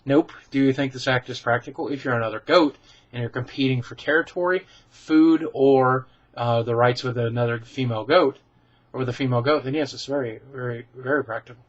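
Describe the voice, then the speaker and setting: A man's voice: mid-range voice, fairly quick, lo-fi sound. A man vlogging casually, answering viewer questions in a chatty, self-deprecating way full of ums and restarts. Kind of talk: casual rambling Q and A.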